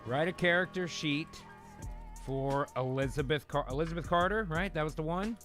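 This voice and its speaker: A man with a slightly high voice riffs like a host running a loose creative session. He is animated and salty-tongued, talking casually to fellow performers. Music plays clearly behind him. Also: normal speed. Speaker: host of an improvised table-read show.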